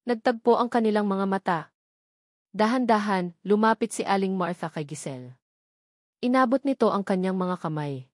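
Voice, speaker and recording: A woman's voice: medium pitch, expressive, normal speed, pauses often, dry recording, studio quality. A woman reading a scripted romantic drama as an audiobook narrator.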